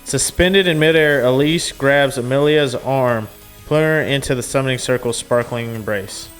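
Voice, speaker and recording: A man's voice: medium pitch, normal speed, clear, resonant tone, soft background music. A man reading a visual novel aloud as a gaming streamer, in a storytelling style with casual asides.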